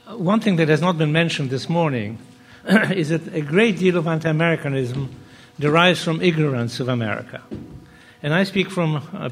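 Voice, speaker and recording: An older man, born and raised in Poland, giving a measured academic talk on a panel, pausing often and restarting phrases. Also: fairly high pitch. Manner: measured, with frequent pauses and restarts. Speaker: panel speaker. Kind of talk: academic lecture. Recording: reverberant hall.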